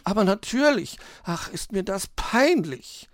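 A man's voice: high-pitched, sing-song, unhurried, no echo, studio quality, pitched above the performer's own voice, heavily acted. A man voicing an agitated, indignant female magpie in a children's storybook reading. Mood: embarrassed. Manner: agitated, exclaiming. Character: flustered, indignant female magpie, proper and scolding.

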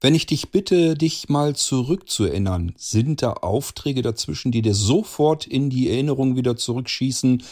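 A man's voice: medium pitch, animated, moderate pace, few pauses, a little mellow. A man who asks prepared interview questions in a conversational way, as the interviewer.

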